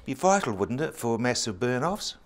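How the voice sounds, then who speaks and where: A man's voice: mid-range voice, sing-song, normal speed. A man, an interviewer asking short, prompting questions.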